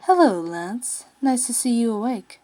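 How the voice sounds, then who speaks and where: A smug alien prince from a sci-fi story, voiced by a woman, courtly and mocking. He stretches out his condescending phrases and lifts his pitch as he toys with his captive. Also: very animated, unhurried, dark tone, low-quality recording.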